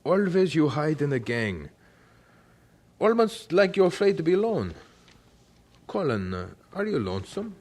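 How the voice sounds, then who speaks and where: An actor playing a devout, deliberate, kindly German orphanage director in a radio drama, with halting, emphatic phrasing. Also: male voice, a little high, big pitch swings, a little mellow. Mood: gently reproving, then gentle, patient. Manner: halting, non-native phrasing, emphatic repetition.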